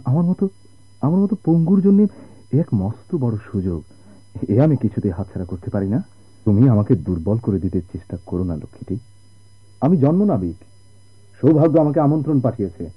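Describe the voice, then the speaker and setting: An actor playing an eager, stubborn sailor husband, pleading tenderly and dramatically in a radio play. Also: male voice, medium pitch, slightly rough. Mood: earnest pleading. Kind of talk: Bengali radio drama acting. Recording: muffled old radio drama recording.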